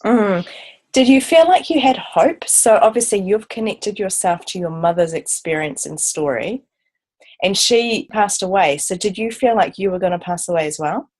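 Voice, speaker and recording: A woman's voice: mid-range voice, lively intonation, normal speed, darkish tone. A woman hosting an interview, prompting her guest with short questions and brief replies. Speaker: podcast host. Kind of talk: conversational interview.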